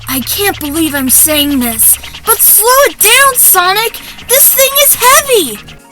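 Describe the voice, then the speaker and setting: A woman's voice: extremely high pitch, animated, slow pace, sharp tone, soft background music, heavily acted. A woman voicing a clever, cautious young boy fox inventor in a fan audio drama. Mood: straining.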